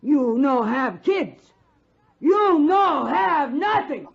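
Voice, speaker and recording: An actress playing a stern, no-nonsense frontier wife who scolds and bosses in clipped broken English, in 1950s radio Western style. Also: female voice, high voice, animated, drawn-out words, creaky, faint music behind, full character voice. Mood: stern, warning. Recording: muffled 1950s radio recording.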